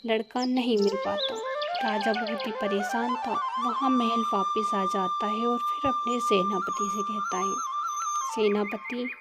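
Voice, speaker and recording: Woman storyteller over loud music. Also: on the high side, wildly swooping pitch, measured pace. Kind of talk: scripted narration of a children's moral story.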